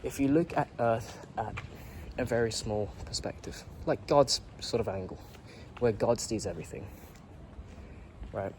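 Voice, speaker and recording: A man's voice: medium pitch, unhurried, long pauses, a little mellow, slight background noise. A man in his late teens, a YouTuber, talking through a rambling, earnest reflection and swearing casually.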